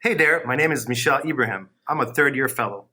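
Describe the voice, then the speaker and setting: Brisk bright-voiced male fellow. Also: mid-range voice, slightly rough. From Haiti. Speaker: cardiology fellow. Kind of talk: self-introduction.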